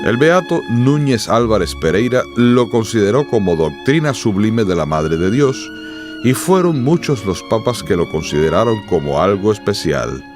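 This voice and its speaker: A male narrator tells a radio drama story formally and dramatically. His voice is fairly low with lively intonation, and music plays clearly behind him. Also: normal speed.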